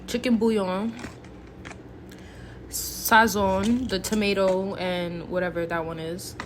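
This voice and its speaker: A woman's voice: medium pitch, fairly even intonation, slow pace, very noisy. A woman giving a casual, playful cooking tutorial, chatting to viewers informally as if they were friends. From Haitian background. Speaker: home cook, recipe vlogger.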